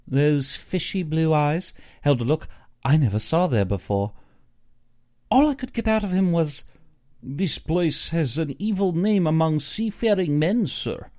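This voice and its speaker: A man voicing an uneasy, superstitious big-game hunter in an audiobook, earnest and brooding in his expressive delivery. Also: a little high, a little slow, voice pushed up. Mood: ominous. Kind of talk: audiobook character dialogue.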